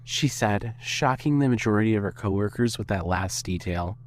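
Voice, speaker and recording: A man's voice: lowish voice, moderate pace. A man reading scripted story narration as the narrator of an audio fiction piece. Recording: faint noise and hum.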